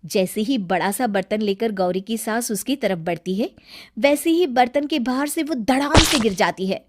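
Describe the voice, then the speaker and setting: A woman's voice: on the high side, moderate pace. A woman narrator reading a scripted children's story as storybook narration.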